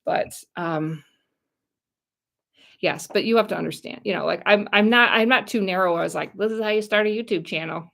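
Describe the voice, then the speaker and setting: A woman's voice: medium pitch, normal speed, gravelly, fairly bright tone. A middle-aged American woman chatting casually on a livestream, rambling and talking straight to her viewers. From United States. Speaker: YouTube channel host.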